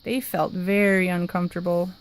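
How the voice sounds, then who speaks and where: A woman reading a children's picture book aloud in an expressive, lively way. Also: on the low side, clean tone. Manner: expressive, lively, dramatic pauses. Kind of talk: storybook read-aloud.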